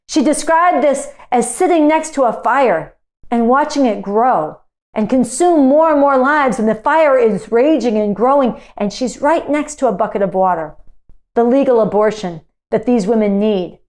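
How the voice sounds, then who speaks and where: A high-pitched woman speaks as an activist delivering a political speech. Her delivery is emphatic and indignant, with phrases repeated to press each point. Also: darkish tone.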